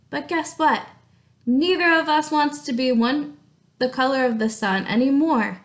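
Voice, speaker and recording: Fed-up melted storybook crayons, read by a woman: high-pitched, weary, emphatic. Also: fairly bright tone, heavily acted. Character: pair of melted, fed-up yellow and orange crayons.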